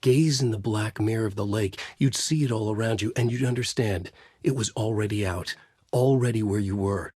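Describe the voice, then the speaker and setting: A man playing a brooding, haunted writer in a video game, narrating a dark noir thriller in grave, clipped, dramatic phrases with a husky voice. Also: lowish voice, moderate pace, no echo, studio quality. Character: brooding, haunted male writer trapped in a nightmare.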